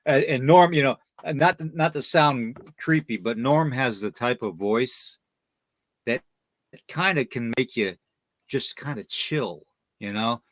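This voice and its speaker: Guy hosting a live podcast, friendly n rambly, mid pitch, dry close sound. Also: a little slow, dry recording. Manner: friendly, rambling, repeats phrases. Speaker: podcast host. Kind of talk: casual live chat, greeting and asking listeners.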